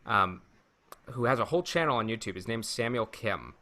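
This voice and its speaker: A man co-hosting a podcast in casual chat, halting as he thinks aloud. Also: medium pitch, expressive, a loud crowd. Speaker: podcast co-host.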